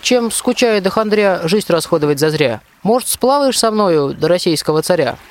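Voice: mid-range voice, expressive, moderate pace, close to an everyday voice. A performer playing a plucky, friendly soldier hero in a rhymed radio play, declaiming heartily. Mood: hearty, persuasive. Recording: faint watery ambient noise.

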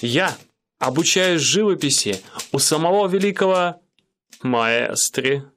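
A man's voice: on the high side, expressive, takes it slowly, fairly bright tone, slight background noise. A young man playing an aspiring painter in a radio play, speaking in an ironic first-person tone. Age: young adult.

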